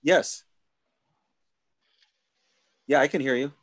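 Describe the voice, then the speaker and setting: A man leading a study group over a video call, asking questions in a halting way with many restarts. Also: mid-range voice, no room sound, studio quality. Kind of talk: casual group discussion.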